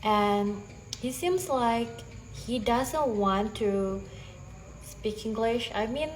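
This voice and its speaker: A young woman with an Indonesian accent, a native Bahasa Indonesia speaker, practicing English slowly and hesitantly, with frequent restarts. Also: fairly high pitch, slight background noise, poor audio. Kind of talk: casual conversation practice.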